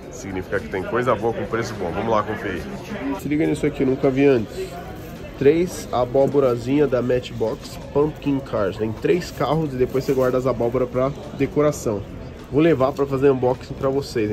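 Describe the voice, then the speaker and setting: A Brazilian man with a Brazilian Portuguese accent, chatting informally and in slang as he gives a casual walk-around vlog commentary. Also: mid-range voice. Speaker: toy collector and vlogger. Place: busy toy convention, recorded on the move. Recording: busy crowd noise of a convention. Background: crowd chatter.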